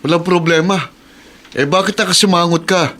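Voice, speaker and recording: High-pitched, grumbling Filipino office worker. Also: male voice, steady tone, moderate pace, bright, forceful tone. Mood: needling. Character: envious, grumbling young male office worker. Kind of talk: radio drama acting.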